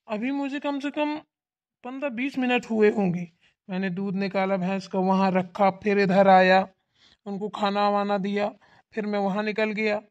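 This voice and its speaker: A steady older village father-in-law played by a performer calmly reassures his crying mother and brushes off the loss. He talks in an even, mid-pitched, soothing voice. The audio sounds dull and lo-fi. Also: natural voice. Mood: explaining.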